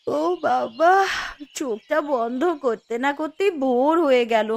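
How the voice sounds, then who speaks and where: A woman's voice: high-pitched, measured pace, vocal fry, darkish tone. A woman voicing an anxious young village wife and mother in a Bengali cartoon, with a Bengali accent. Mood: busy.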